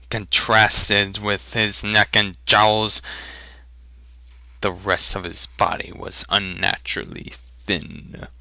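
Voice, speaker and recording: Casting: male narrator for fantasy fiction, unhurried and deliberate, sharp bright tone, dry recording. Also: on the low side, smooth, dry recording. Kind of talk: dramatic story narration.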